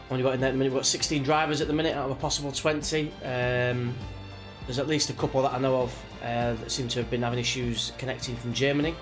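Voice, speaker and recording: A British man with a slight cold chats casually on a livestream, rambling in a laid-back way like a racing gamer talking to viewers. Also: medium pitch. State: sniffly from a cold. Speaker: live streamer, sim racing driver. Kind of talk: casual livestream chat before a race.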